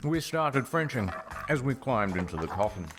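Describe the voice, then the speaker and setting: A man's voice: medium pitch, big pitch swings, normal speed. A man narrating a comic story in a mock-serious, theatrical way. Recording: music behind the voice. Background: music.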